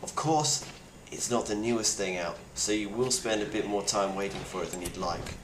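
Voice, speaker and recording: A man playing a grim, weary plague survivor, speaking gravely and bluntly in a halting video-diary monologue. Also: fairly low pitch, close to an everyday voice. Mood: earnest, advising. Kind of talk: in-character monologue, found-footage video diary. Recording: roomy echo with clear background noise.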